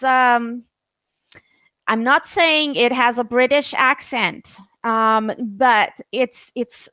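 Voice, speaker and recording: A woman's voice: sits high, bright, forceful tone, clean tone, no room sound. A Canadian woman tutoring English over a video call, chatting casually and hesitating often with fillers and restarts. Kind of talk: casual online conversation lesson.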